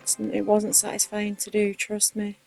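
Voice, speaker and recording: A woman's voice: medium pitch, monotone, normal speed, creaky, darkish tone, soft background music. A woman with a strong Mancunian accent, casually talking through a game building tutorial step by step. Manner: chatty, explaining step by step. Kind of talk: casual commentary over gameplay.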